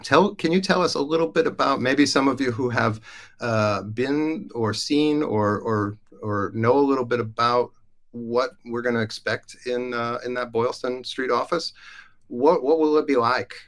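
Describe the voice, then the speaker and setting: A man's voice: mid-range voice, normal speed. A man hosting a live podcast, chatty and upbeat, introducing colleagues. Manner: upbeat, chatty, with frequent fillers. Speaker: host. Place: Denmark.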